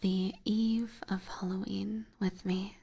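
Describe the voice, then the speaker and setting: A woman hosting ASMR, chatting to her viewers in a soft, intimate, breathy whisper. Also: mid-range voice, level tone, slow pace. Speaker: ASMR streamer, host.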